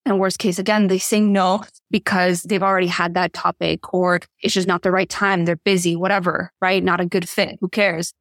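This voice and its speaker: A woman's voice: on the low side, flat delivery, normal speed, hardly any pauses, a bit bright, no echo. Casual woman coach, native Spanish speaker, dry sound. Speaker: podcast guest, leadership and visibility coach. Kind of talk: casual interview conversation.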